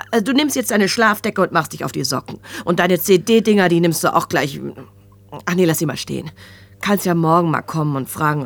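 Wry nervy shop lady, radio crime play, creaky voice, very up and down, brusque. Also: lowish voice. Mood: sardonic, agitated. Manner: sardonic, colloquial, flustered. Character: brusque, wry shopkeeper woman, nervy and self-protective. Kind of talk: German crime radio drama acting.

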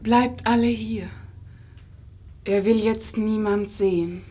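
Stately, slow-spoken painter's model. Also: female voice, mid-range voice, steady tone, warm tone, breathy edge. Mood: firm. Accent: standard stage German. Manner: stately, lyrical. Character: solemn, graceful young woman, painter's model. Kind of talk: 1950s German radio verse drama, declamation.

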